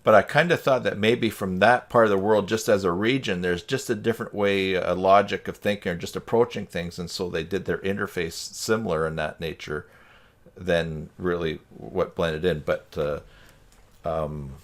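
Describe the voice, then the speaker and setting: A man's voice: sits low, normal speed. A man in casual conversation on a group video call, thinking aloud in a rambling, tentative way. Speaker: participant in a web tutorial livestream.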